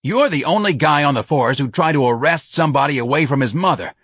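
A man voicing a loud, exasperated police sergeant who scolds a junior officer. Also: a little high, quick pace, slightly rough, no room sound, studio quality, voice pushed up. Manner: yelling, scolding.

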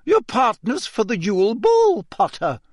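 Brief: strict older schoolmistress, clipped and disapproving, high and lively , as a male reader plays her. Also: unhurried, no room sound, studio quality, full character voice. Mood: firm. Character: stern strict older female teacher.

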